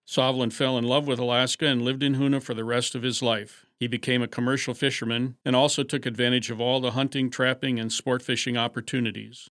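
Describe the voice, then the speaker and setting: An older man presents a radio program with scripted narration. His pitch is average for a man, and the recording is very dry, with no room sound. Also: a bit bright, no room sound. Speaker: radio host.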